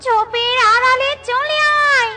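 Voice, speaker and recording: Woman as a shrill, wailing fake witch. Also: fairly even intonation, pushed and bright, heavily acted. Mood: pleading. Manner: drawn-out calling. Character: mother bird mimicking a pleading witch in distress. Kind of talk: children's cartoon dubbing.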